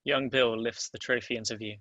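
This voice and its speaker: A man's voice: mid-range voice, steady tone, a little fast, bright, forceful tone, dry recording, lo-fi sound. A man reading stage directions as scripted narration at a table read.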